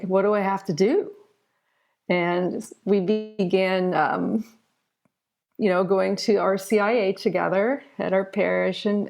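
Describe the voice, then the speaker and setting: Middle-aged woman with a creaky voice. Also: medium pitch. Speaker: interview guest, children's author. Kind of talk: conversational personal storytelling.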